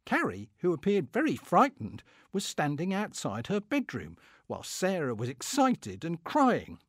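A man's voice: on the high side, huge pitch swings, a little slow, breathy edge, no room sound, studio quality, exaggerated voice. A man playing a pompous, fussy, middle-aged Victorian clerk who is easily affronted, in a comic dramatic diary reading. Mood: exasperated. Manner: pompous, indignant, self-satisfied.

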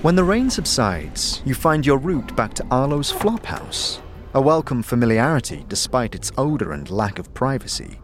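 A man's voice: medium pitch, big pitch swings, normal speed. A man reading scripted fantasy narration dramatically, as the storyteller of an audio drama.

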